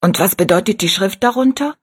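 A woman voicing a tiny, kind and wise old woman who speaks softly, slowly and in a hushed way. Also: mid-range voice, animated, slightly rough. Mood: quiet. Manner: soft, slow and hushed.

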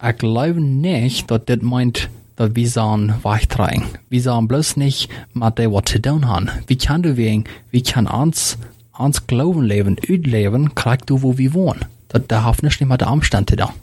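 A man speaks calmly and in a measured way about faith. His voice sits in a middle range. The recording is dry. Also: medium pitch, slightly rough, no room sound. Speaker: discussion participant. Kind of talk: casual Bible discussion.

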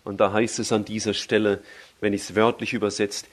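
A man preaching a sermon in German. He leans hard on key phrases and slows down when he quotes scripture. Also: lowish voice, flat delivery. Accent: German, with a native dialect.

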